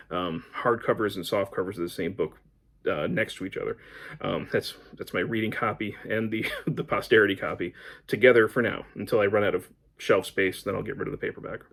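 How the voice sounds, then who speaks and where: American guy doing a casual book vlog, low slightly husky voice, kinda rambly. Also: fairly bright tone. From United States. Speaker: book vlogger. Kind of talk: casual chat to camera, answering book prompts.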